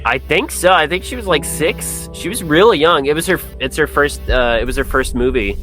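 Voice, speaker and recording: A man's voice: fairly high pitch, a little fast, over music, rough recording. A man co-hosting a podcast, talking about films in an informal, wry, laid-back way. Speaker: podcast co-host. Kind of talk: casual film discussion.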